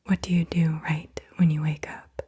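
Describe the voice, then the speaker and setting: A woman whispering softly and gently in an unhurried ASMR style, with a breathy voice, reading out questions. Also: low voice, level tone, a little hiss or hum. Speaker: ASMR host. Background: computer keyboard typing.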